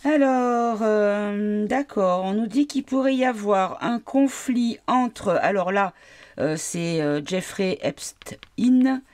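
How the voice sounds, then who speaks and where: Woman, stuffy with a cold, mid-range voice, halting card reading full of fillers. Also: medium pitch, darkish tone. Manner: hesitant, many fillers, questions the cards aloud. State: has a cold. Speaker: tarot card reader. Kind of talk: casual card reading to viewers.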